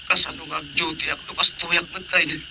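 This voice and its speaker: Groaning village councilman, rather high-voiced, stammering and pleading, comedy radio drama, noisy track. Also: level tone, normal speed, gravelly. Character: whiny male village councilman faking a bellyache.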